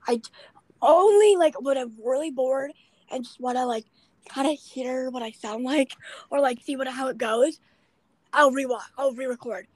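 A young woman in her late teens hosting a podcast, with a raspy voice, chatting in a rambling, self-deprecating way full of fillers. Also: high-pitched, moderate pace, a little mellow, no room sound, rough recording. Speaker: podcast host. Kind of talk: casual chat.

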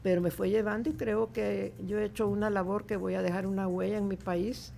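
A woman's voice: mid-range voice, little pitch movement, a little fast, vocal fry, dark tone. A woman in her seventies from El Salvador with a Salvadoran accent, a guest chatting reflectively in a studio interview. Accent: Salvadoran Spanish. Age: 70s. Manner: reflective, chatty, laughs easily. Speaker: guest artist. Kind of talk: casual interview chat.

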